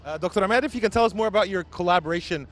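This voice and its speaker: A man's voice: sits high, racing, fairly bright tone. A man hosting a TV interview program, speaking formally with many uhs. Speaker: TV host.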